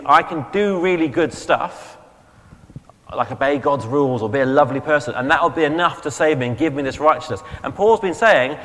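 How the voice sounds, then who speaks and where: Chatty vicar with a strained throat, in a reverberant hall. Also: male voice, mid-range voice, fairly bright tone. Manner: conversational, chatty, self-correcting. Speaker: vicar preaching. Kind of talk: sermon. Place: church hall.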